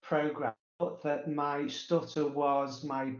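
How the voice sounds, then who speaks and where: Slow, stuttering man in an echoey room. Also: a little high, little pitch movement. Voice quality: stutter with blocks, repetitions and drawn-out pauses. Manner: halting, frequent fillers. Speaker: interview guest. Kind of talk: casual personal recollection. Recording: roomy, echoey, dull sound.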